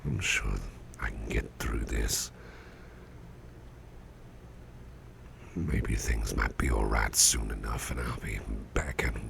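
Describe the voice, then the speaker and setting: A man playing a weary, courteous businessman boss in an intimate ASMR roleplay, murmuring in a gravelly, breathy near-whisper with folksy hesitations. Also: very deep voice, fairly bright tone, heavily acted. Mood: polite, grateful. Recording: clearly audible background noise.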